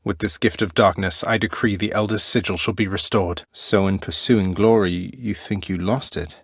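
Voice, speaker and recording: Casting: young prince of the underworld for a video game, low voice, dry wit, courteous, very close dry recording. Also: male voice, fairly even intonation, moderate pace, creaky, husky, no echo. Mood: casual, inquisitive. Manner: casual, dry, understated, polite. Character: young male underworld prince, dry-witted, polite, friendly.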